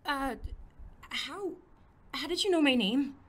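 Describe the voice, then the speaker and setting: A woman playing a blunt, sarcastic high school girl, incredulous and snarky, in a comedic audio drama. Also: animated, slow pace. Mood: wary, confused.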